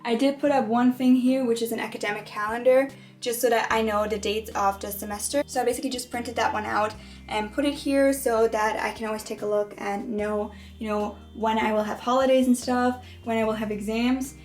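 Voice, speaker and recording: A young woman, a native German speaker, chats her way through a tour of her dorm room, stressing words by saying them twice. Her voice sits a little high, with faint music playing under it. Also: steady tone, a little fast. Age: young adult. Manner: chatty, repeats words for emphasis ("very, very"). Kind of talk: casual tour narration.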